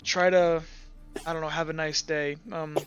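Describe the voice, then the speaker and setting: A man voicing a stern but caring female military commander in a tabletop game, speaking in a hesitant, measured, grave way. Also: on the high side, little pitch movement, a bit bright, lo-fi sound, soft background music, close to an everyday voice. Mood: grave, gentle.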